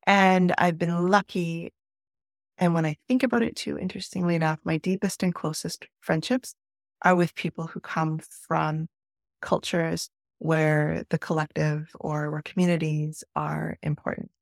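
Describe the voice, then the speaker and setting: Low-pitched, gravelly middle-aged woman. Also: level tone, unhurried, no echo, studio quality. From Peru. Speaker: podcast guest. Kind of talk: reflective conversational storytelling.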